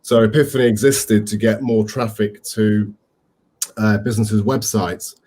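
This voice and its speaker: A man in his forties with a Leeds accent, a company co-founder speaking on an online panel, relaxed, with frequent ums and restarts. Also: lowish voice, measured pace. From England. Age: 40s.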